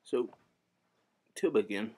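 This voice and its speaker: A man's voice: fairly low pitch, dark tone, slightly rough. Young man in a fake Scottish accent. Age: young adult. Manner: doing a deliberately bad Scottish accent, swearing, tipsy. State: tipsy. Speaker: vlogger. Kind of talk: rambling comedic history vlog. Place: home basement.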